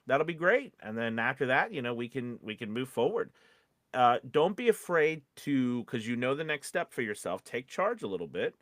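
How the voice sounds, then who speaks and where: A man's voice: medium pitch, big pitch swings, normal speed, fairly bright tone, no echo. Man chatting casually, close dry sound. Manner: informal, encouraging, often checks in with "you know what I mean". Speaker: podcast co-host and voice-over coach. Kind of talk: casual advice chat with acted-out example quotes.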